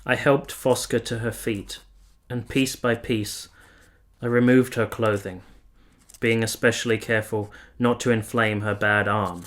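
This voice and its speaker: An actor playing a lovestruck, conflicted nineteenth-century man in a radio drama, speaking in earnest, measured, literary phrases. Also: fairly low pitch, steady tone, a bit bright. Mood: solemn, confessional. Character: Giorgio, lovestruck, conflicted 19th-century Italian man, confessional narrator. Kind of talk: radio drama narration and intimate dialogue.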